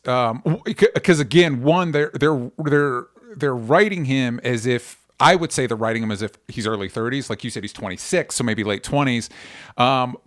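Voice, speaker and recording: A man hosting a podcast in casual chat, halting and correcting himself as he goes, swearing freely. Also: medium pitch, a bit bright, no echo, clean studio sound. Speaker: podcast host.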